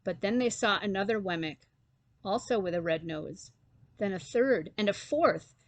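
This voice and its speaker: A woman reads a children's story as its narrator. Her voice sits at an average pitch and is expressive in its telling. The recording is dry, with little room sound. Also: normal speed, no echo.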